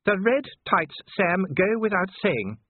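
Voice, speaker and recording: A man voicing a firm, commanding aristocratic wife in an audiobook, brisk and imperious. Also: high-pitched, sing-song, slightly rough, phone-quality sound, pitched above the performer's own voice, exaggerated voice. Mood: stern, commanding.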